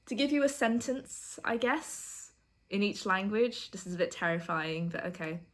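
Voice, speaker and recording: A woman's voice: mid-range voice, measured pace. A young woman from the UK, a university student vlogging in a casual get-to-know-me chat, chatty and giggly. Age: young adult. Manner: chatty, giggly, self-deprecating.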